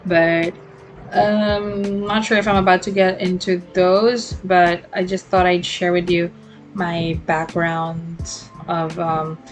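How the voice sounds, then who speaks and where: A young woman hosts her own podcast in a relaxed solo monologue. Her voice sits on the low side, and she wanders through her thoughts with plenty of ums and restarts. Soft music plays faintly underneath. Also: slow. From Philippines. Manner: rambling and reflective, many fillers and self-corrections. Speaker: podcast host.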